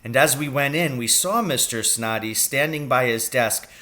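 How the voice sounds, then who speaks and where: A man reading a children's story aloud as a teacher would, with expressive narration. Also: mid-range voice, moderate pace.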